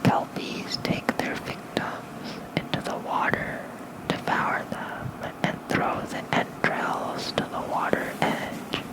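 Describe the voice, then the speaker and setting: A hushed, breathy whisper reads bedtime lore in an ASMR style. The delivery is slow and gentle, spoken softly and close to a fluffy microphone. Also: low-quality recording. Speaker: ASMR creator reading aloud. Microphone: close microphone with fluffy windscreen.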